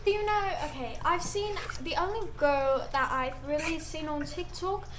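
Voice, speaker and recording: Young woman, high-pitched, feigned British accent, casual video-chat talk, roomy noisy recording. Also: normal speed. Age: teenager or young adult. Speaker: vlogger. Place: bedroom at home. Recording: echoey, noisy room recording.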